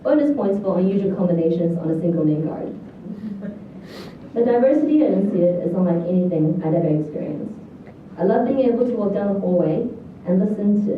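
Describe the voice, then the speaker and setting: A woman in her thirties with a New Zealand accent, giving a prepared graduation speech as a student valedictorian. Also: mid-range voice. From New Zealand, born in China. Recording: echoey hall, distant, thin lo-fi, faint noise.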